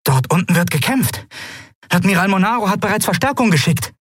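A man voicing a young female lieutenant giving brisk reports from a communications station. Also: high-pitched, animated, fairly bright tone, breathy edge, no room sound, clean studio sound, voice pushed up, exaggerated voice.

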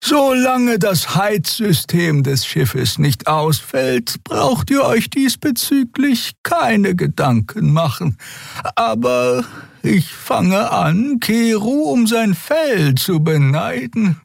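A man voicing a tattooed alien woman in a robe, speaking slowly in a high, drawn-out voice. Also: big pitch swings, gravelly, husky, no echo, studio quality, heavily acted. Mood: dry complaint. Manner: slow, drawn-out, high delivery. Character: tall tattooed female alien, slow and chilly.

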